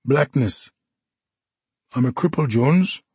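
A man's voice: medium pitch, expressive, slow pace, mellow, slightly rough, no room sound, voice pushed up, exaggerated voice. A man voicing a drunk, despairing one-handed soldier. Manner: growling, formal and officious. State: drunk and unsteady.

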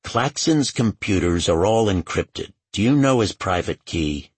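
A man performs a blunt male federal agent in a thriller audiobook. He speaks with firm authority, husky and matter-of-fact, in a dry recording. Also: sits low, expressive, a little slow, no echo, voice pushed up, exaggerated voice. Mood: firm, pressing.

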